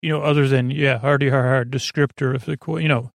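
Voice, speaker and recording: A man hosting a podcast with a creaky voice, giving a goofy, meandering monologue full of filler words and tangents, meant to help listeners fall asleep. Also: mid-range voice, steady tone, fast, no room sound. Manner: goofy, absurd, self-deprecating. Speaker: podcast host. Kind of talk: rambling bedtime monologue to help listeners sleep.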